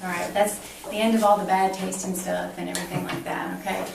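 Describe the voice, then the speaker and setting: Woman, middle-aged, creaky voice, instructional clinical walkthrough, distant camera mic. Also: fairly low pitch, level tone, fairly quick. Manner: instructional, explaining each step aloud. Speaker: nursing instructor demonstrating a physical exam. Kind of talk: clinical demonstration explained to a patient. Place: small block-walled exam room. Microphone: camera a few feet away. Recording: roomy, distant camera mic, faint noise.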